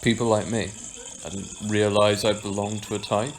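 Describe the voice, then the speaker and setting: A man's voice: lowish voice, flat delivery, normal speed, slight background noise, lightly acted. A man acting a carefree, joking pub regular in an amateur audio drama, talking in a casual, slightly hesitant, teasing way. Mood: flippant.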